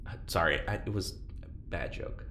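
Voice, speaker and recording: A man playing an awkward, gentle, self-deprecating test subject in an audio drama, speaking informally and hesitantly. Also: low-pitched, moderate pace. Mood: awkward, apologetic. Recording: roomy echo, clear ambient noise.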